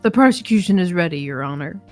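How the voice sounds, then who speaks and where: An Icelandic woman reads a cold, arrogant prosecutor with a lofty manner. She drops into a lower, more measured voice for him. The sound is close and dry. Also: lively intonation, dry recording, faint music behind, close to an everyday voice.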